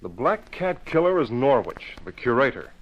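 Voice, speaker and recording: A man in an old-time radio drama playing a calm, decisive investigator who explains things deliberately. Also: medium pitch. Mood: explaining, decisive. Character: calm, decisive man solving a museum murder. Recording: muffled, noisy old radio recording.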